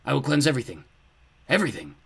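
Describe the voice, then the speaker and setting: A man voicing a fiery, menacing demon lord with a booming, grandiose delivery for a story. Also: big pitch swings, racing, creaky, husky, heavily acted. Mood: commanding. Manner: grandiose, imperious.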